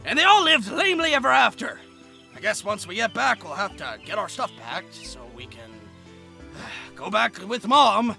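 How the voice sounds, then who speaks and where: A man plays a sarcastic, cheeky teenage cartoon kid. The voice is piercingly bright and crackly, bouncing around with snark over faint music. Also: high-pitched, very animated, moderate pace, vocal fry, exaggerated voice. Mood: glum sarcasm. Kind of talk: cartoon parody voice acting.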